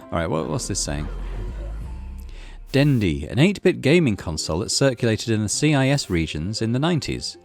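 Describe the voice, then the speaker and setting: A man's voice: mid-range voice, very animated, moderate pace, vocal fry. A man narrating a visual novel aloud as a gaming channel host, in a dramatic storytelling style. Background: music.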